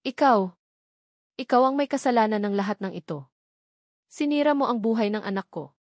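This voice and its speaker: A woman's voice: fairly high pitch, expressive, normal speed, a little mellow, no echo, clean studio sound, close to an everyday voice. Woman acting furious, domineering older mother. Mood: furious, accusing. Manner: scolding, commanding, emphatic. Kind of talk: audio drama storytelling.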